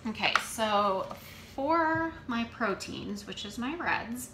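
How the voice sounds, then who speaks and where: A woman in her thirties casually showing her meal prep at home, talking in an unhurried way with many fillers. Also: fairly high pitch, sing-song. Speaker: fitness coach vlogger. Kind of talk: casual how-to vlog. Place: home kitchen, indoors. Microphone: camera on the counter. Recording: echoey kitchen with room noise.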